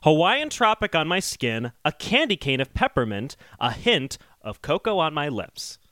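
A man's voice: on the high side, very animated, moderate pace, a bit bright, dry recording, clean studio sound. A man co-hosting a casual comedy podcast, talking things through step by step and questioning the other side. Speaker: podcast co-host.

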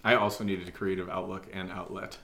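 A man co-hosting a live podcast Q&A in a casual, jokey, excitable way, often repeating his words. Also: sits low, brisk, creaky. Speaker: podcast co-host. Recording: roomy echo.

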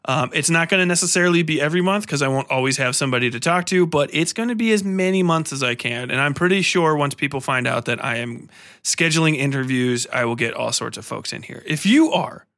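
A man's voice: fairly high pitch, fast, a bit bright, dry recording, studio quality. A man hosting a podcast, speaking casually and irreverently in a loose, rambling, sweary style. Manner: irreverent, profane, informal. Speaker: podcast host.

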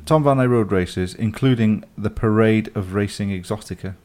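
A Welsh man with a lowish voice co-hosts a casual podcast. He rambles in a laid-back way and makes fun of himself. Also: moderate pace, a little mellow. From Wales. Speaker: podcast co-host. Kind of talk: casual chat.

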